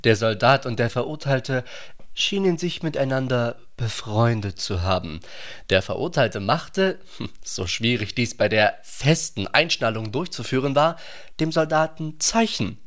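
A man's voice: medium pitch, lively intonation, a little slow, fairly bright tone. A man playing the storyteller of a literary radio play, narrating from a script. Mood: observant.

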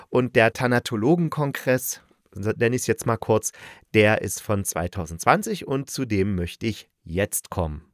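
A man's voice: medium pitch, no echo, clean studio sound. A man giving a casual spoken review as a reviewer, talking in an enthusiastic, informal and rambling way. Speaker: radio play reviewer.

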